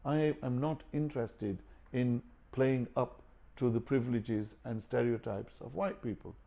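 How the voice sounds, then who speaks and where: A man's voice: medium pitch, fairly even intonation, unhurried, vocal fry, dark tone, dull audio. A man born in Pakistan, an author and publisher, speaking earnestly and outspokenly in an interview. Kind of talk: reflective interview talk.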